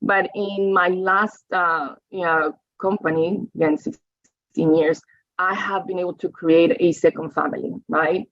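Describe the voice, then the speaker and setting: Woman, 40s, lowish voice, reflective presentation, dry dull sound. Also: steady tone, a little slow, no echo. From Colombia. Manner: reflective, frequent "right?" and "you know" tags. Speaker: guest speaker. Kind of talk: presentation talk over slides. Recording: dry, slightly dull audio.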